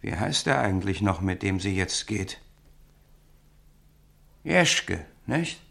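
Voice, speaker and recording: Ein Schauspieler spielt in einem deutschen Hörspiel einen misstrauischen Mann, der widerwillig eine Waffe verkauft und bedächtig nachbohrt. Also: male voice, low-pitched, lively intonation, creaky, fairly bright tone, heavily acted. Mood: probing, needling. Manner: probing, deliberate, questioning. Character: wary man reluctantly selling a revolver, probing and needling. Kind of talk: German radio play acting.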